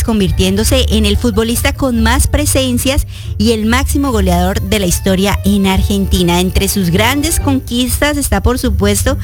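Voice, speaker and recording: A woman co-hosting a news program, presenting a sports story in a polished, measured newsreader manner. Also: on the high side. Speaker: news co-host.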